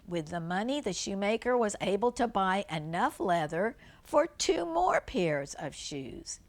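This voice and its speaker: A woman's voice: medium pitch, big pitch swings, measured pace. An older woman reads a children's storybook aloud like a grandmother, adding simple, chatty explanations for a young listener.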